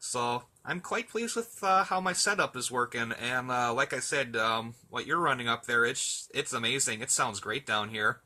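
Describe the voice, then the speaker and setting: A man's voice: mid-range voice, normal speed, hardly any pauses, sharp tone, a little hiss or hum. A man in a casual radio chat, talking in an easygoing, chatty and hesitant way as an amateur operator.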